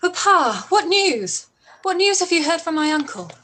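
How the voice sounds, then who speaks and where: A woman playing a caring, earnest young gentlewoman and devoted daughter in a radio play, speaking with concern. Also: very high-pitched, sing-song, moderate pace, slight background noise, heavily acted. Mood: urgent. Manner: concerned, questioning. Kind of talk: radio play live read.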